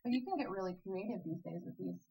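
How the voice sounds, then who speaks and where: A woman's voice: medium pitch, expressive, quick pace, vocal fry, dark tone, low-quality recording. Chatty woman with a mid-range voice. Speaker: interview guest, singer-songwriter. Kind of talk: casual chatty conversation.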